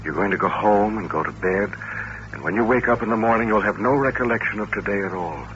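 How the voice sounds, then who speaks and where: An actor playing a bitter, lonely mutant with hypnotic powers in an old-time radio drama, intense and commanding. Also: male voice, on the low side, fast, fairly bright tone, highs cut off, close to an everyday voice. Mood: resigned, commanding. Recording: noisy, band-limited vintage radio recording.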